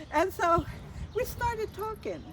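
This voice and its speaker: An older woman telling personal stories in a casual, animated way, acting out remembered conversations. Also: extremely high pitch. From Texas, United States. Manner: animated, acts out remembered dialogue, chuckles at her own stories. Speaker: storyteller. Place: outdoors. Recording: distant mic, clearly noisy outdoor ambience.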